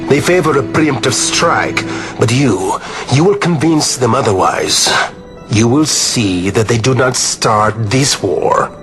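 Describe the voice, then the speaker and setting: A man's voice: mid-range voice, animated, music in the background. An actor playing a gallant foreign nobleman who speaks in grand, flowery phrases, over an orchestral score. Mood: courtly. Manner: slow and deliberate. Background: orchestral game score.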